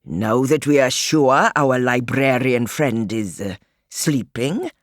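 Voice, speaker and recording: A woman's voice: extremely low pitch, slow, dry recording, clean studio sound. A woman voicing a fussy, flamboyant, grandfatherly man in his seventies for a children's audiobook, with precise, courteous phrasing. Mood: brisk, conspiratorial. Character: spry, theatrical, grandfatherly immortal old man, genteel godfather.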